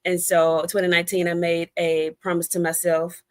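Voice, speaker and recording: A woman's voice: on the low side, level tone, normal speed. A middle-aged American woman talking casually as an interview guest, in a chatty, storytelling way with conversational asides. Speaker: guest, debut novelist.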